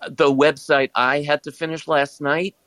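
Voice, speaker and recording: A man's voice: a little high, little pitch movement, unhurried, a bit bright, no echo. A man playing a shy, imaginative schoolboy in an audio drama, with a hesitant manner. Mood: surprised, defensive. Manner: hesitant, apologetic. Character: shy, dreamy schoolboy who draws comics.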